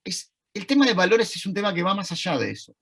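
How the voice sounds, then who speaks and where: A man with an Argentine accent giving an online talk, conversational and thoughtful, pausing to search for words. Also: sits high, sing-song, fairly bright tone, husky. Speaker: lecturer. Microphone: video call.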